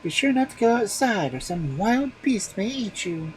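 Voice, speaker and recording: A storyteller voicing a fussy, demanding young wife who complains in a high voice. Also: big pitch swings, moderate pace, a little hiss or hum, close to an everyday voice. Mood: pleading.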